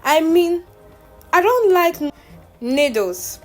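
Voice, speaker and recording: Female narrator as young schemer, high and swooping, panicky, defiant, shrill, for a folktale drama. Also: sing-song, slow, soft background music, exaggerated voice. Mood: panicked. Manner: shrill, theatrical. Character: desperate young woman liar, panicky and defiant.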